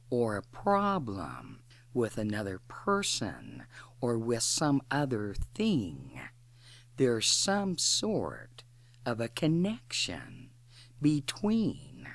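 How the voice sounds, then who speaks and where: A woman guides an imagery meditation at an extremely slow pace, in short, deliberate phrases separated by long pauses. Her pitch moves up and down noticeably as she gives instructions, and the sound is dry and close. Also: dry recording.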